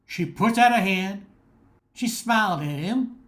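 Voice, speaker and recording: A woman narrator reads a scripted story for a radio play. Her voice sits at a medium pitch. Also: expressive, a little slow, noisy background.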